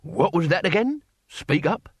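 A man's voice: big pitch swings, a little slow, slightly rough, no room sound, clean studio sound, heavily acted. A man doing a scolding older crook. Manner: scolding, theatrical, climbing high in pitch. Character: exasperated older male street-crime tutor.

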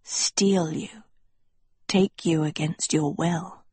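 A woman voicing an old, mysterious male schoolmaster in a breathy whisper, slow, smug and silkily condescending in a storybook reading. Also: sits low, steady tone, darkish tone, no room sound, exaggerated voice. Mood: softly persuasive.

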